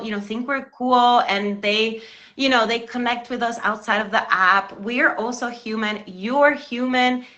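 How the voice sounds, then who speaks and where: Bright, earnest woman hosting a live stream. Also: a little high. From Cuba. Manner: earnest, encouraging, addresses viewers directly. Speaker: live stream show host. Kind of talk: motivational live-stream talk.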